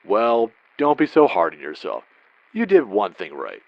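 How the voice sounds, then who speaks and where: An actor playing a condescending husband in an old-time radio drama, breezy and patronising. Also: male voice, on the low side, huge pitch swings, a little slow, gravelly, dry recording, exaggerated voice. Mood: smug. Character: smug, condescending 1940s husband. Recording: dry, dull lo-fi sound.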